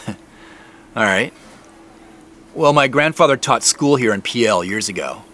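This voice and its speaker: An actor playing a polite, casual out-of-town visitor in an audio drama, easygoing and a little apologetic. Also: male voice, medium pitch, lively intonation, slow, sharp tone.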